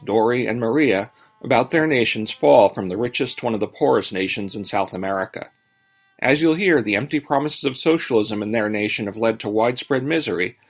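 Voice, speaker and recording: A man's voice: medium pitch, gravelly, husky. A man co-hosting a podcast, reading a scripted intro and then asking interview questions, stumbling and repeating words as he asks. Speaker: podcast co-host.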